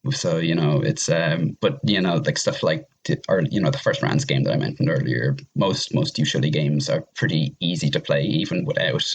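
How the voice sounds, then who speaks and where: Guy with a low husky voice, rambly podcast guest, keeps restarting his sentences. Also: moderate pace, hardly any pauses. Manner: hesitant, rambling, repeats phrase starts. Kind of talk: casual discussion of games.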